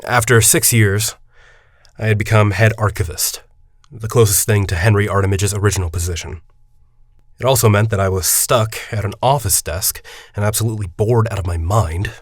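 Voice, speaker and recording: An actor playing a forty-year-old university headmaster in an audio drama, a secretive academic who speaks in a deliberate, articulate, confiding way. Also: male voice, lowish voice, no echo, clean studio sound, lightly acted. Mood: confiding, somber. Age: 40s. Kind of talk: audio drama dialogue and storytelling.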